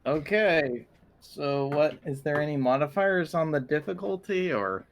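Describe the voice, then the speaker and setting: A man's voice: fairly high pitch, lively intonation, measured pace, a little hiss or hum. A man talking casually as a player in a tabletop role-playing game.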